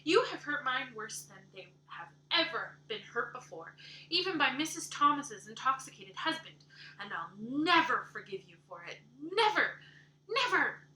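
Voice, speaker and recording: A woman voicing a furious, fiery-tempered orphan girl who shouts vehemently and near tears in a storybook reading. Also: high voice, big pitch swings, normal speed, far from the mic, pitched above the performer's own voice, exaggerated voice. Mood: furious, tearful. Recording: roomy echo.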